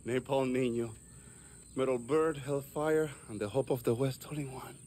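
A man playing an eccentric, boastful guerrilla tinkerer in a video game, with theatrical, excitable flourishes. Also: mid-range voice, a little hiss or hum, close to an everyday voice. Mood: boastful, gleeful. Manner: theatrical, drawn-out bragging with affectionate flourishes. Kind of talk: video game cutscene acting.

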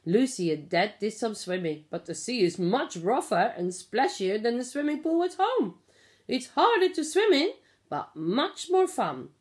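A middle-aged woman reading a picture book aloud to young children, explaining and asking simple questions in an expressive way. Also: on the high side, unhurried. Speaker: pre-school storyteller. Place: small living room at home. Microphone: phone or tablet at about arm's length.

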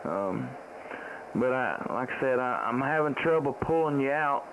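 A man's voice: medium pitch, moderate pace, lo-fi sound. A middle-aged man with a Southern US accent chatting casually as a ham radio operator, folksy and rambling, repeating his phrases. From United States. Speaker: ham radio operator, live-stream host. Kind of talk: casual radio contact chat. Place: small indoor home radio room. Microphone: boom-arm studio microphone, close, with headset. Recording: steady radio static noise.

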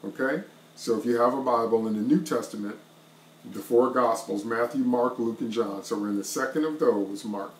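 A middle-aged man delivers an online sermon with an emphatic, repeating preacher's cadence. He speaks into a laptop webcam from a small wood-paneled room. The sound carries a roomy echo around his mid-pitched voice. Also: moderate pace, a little hiss or hum.

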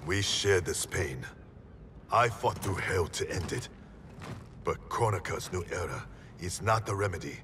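A man voicing a calm, resolute ninja grandmaster in a video game cutscene, earnest and pleading. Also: sits low, measured pace, long pauses, distant mic, noisy background.

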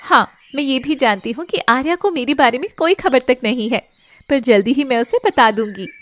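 A woman voicing a young lovestruck fairy in an animated story, earnest, defiant and emotional. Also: high voice, normal speed. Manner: emotional, dramatic delivery.

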